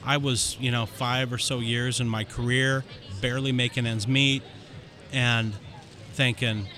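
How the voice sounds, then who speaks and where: A man guest on a podcast, candidly telling his story in an animated way, with quick rushes of speech. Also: mid-range voice, some chatter behind. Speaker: dentist guest. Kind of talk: casual interview storytelling.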